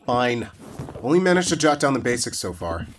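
A man's voice: medium pitch, very animated, brisk, a little hiss or hum, lightly acted. A man playing a skeptical, by-the-book young investigator in a fantasy audio drama. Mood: matter-of-fact, doubtful.

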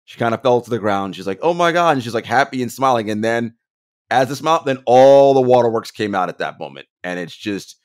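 A man co-hosting a sports podcast, telling stories in an animated, casual way and laughing often. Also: medium pitch, brisk. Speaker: podcast co-host.

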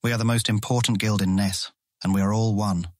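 A man playing a proud guild member in a fantasy audio drama, explaining things in a measured way. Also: on the low side, fairly even intonation, darkish tone, dry recording, studio quality, natural voice. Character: proud, patient male guild member showing a newcomer around. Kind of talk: full-cast fantasy audiobook acting.

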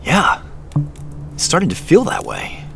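A man's voice: high-pitched, huge pitch swings, unhurried, fairly bright tone, faint music behind, exaggerated voice. An actor playing an uneasy, wry everyman investigator in a naturalistic audio drama, confiding and hesitant, muttering to himself at times. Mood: earnest, explaining. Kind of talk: naturalistic audio drama acting with first-person narration.

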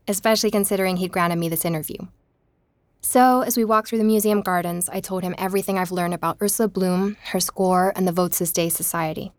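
A woman playing an investigator who narrates her own research in a measured, reflective audio-drama style. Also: on the low side, dry recording, close to an everyday voice. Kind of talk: scripted first-person audio drama narration.

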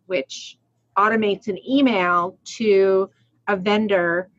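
A woman's voice: mid-range voice, steady tone, takes it slowly, a bit bright, dry recording, muffled recording. A woman in her forties from Rhode Island, co-hosting a casual accounting podcast, chatty, laughing often and restarting her sentences. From Rhode Island, USA. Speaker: podcast co-host.

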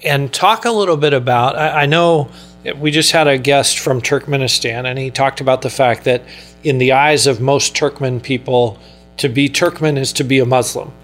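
A man's voice: medium pitch, fairly even intonation, moderate pace, a bit bright, soft background music. A man hosting a radio interview in a conversational style.

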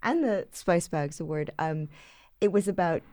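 A woman co-hosts a podcast, stumbling through thoughts with plenty of ums. Her voice sits low and sounds close and dry. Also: a little slow, mellow, no room sound. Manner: hesitant, filler-heavy. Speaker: podcast host. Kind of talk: casual chat.

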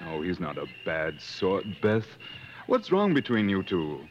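An actor playing a reasonable, gently teasing gentleman explorer, measured and genteel, in 1950s radio drama style. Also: low-pitched, wildly swooping pitch, background noise, heavily acted. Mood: gently coaxing. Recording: muffled old radio broadcast with music.

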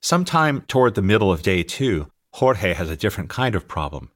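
A middle-aged American man reading his own audiobook as an author and teacher, telling anecdotes in an expressive, scripted style. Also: deep voice, a little fast. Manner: expressive, anecdotal storytelling, acting out dialogue. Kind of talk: scripted narration, audiobook memoir.

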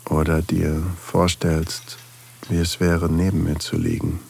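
An actor playing an older single father, tender and longing, in an intimate, softly confiding audio drama narration with a husky, gravelly voice. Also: low voice, little pitch movement, measured pace, slight background noise.

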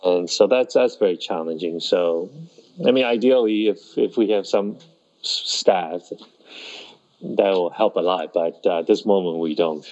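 Taiwan-born guy being interviewed, gravelly dark voice, kinda dull lo-fi sound, stops and restarts a lot. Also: sits low. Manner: halting, with many restarts and pauses. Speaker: festival organizer. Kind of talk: conversational interview answers.

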